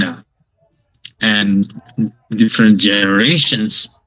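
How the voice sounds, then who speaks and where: Slow-speaking older man lecturing from Bangladesh. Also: medium pitch, fairly bright tone, poor audio. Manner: slow, halting delivery with many fillers and restarts. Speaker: guest lecturer. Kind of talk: academic lecture over video call. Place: home.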